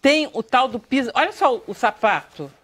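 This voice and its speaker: A woman talk show host with a Brazilian accent and lively, varied intonation. She chats informally and enthusiastically, steering her guests along in a studio interview. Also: fairly high pitch, normal speed, husky. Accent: Brazilian Portuguese. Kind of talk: casual interview chat.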